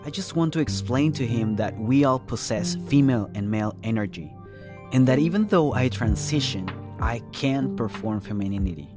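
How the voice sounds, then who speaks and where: A man's voice: medium pitch, expressive, moderate pace. A young man tells a first-person story as scripted narration in a reflective, conversational way. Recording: faint music bed behind. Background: soft music.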